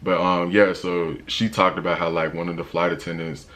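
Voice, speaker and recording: A young man with a deep voice, talking casually in a travel vlog with a laid-back, slangy manner and lots of fillers. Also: flat delivery, moderate pace, fairly bright tone. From United States. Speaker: travel vlogger.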